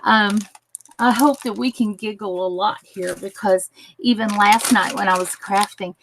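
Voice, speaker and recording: A woman hosting a craft livestream in a chatty, giggly way, greeting viewers, her voice a little croaky. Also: medium pitch, fairly even intonation, measured pace, a bit bright, slight background noise, low-quality recording. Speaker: crafting channel host. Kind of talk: casual craft tutorial livestream.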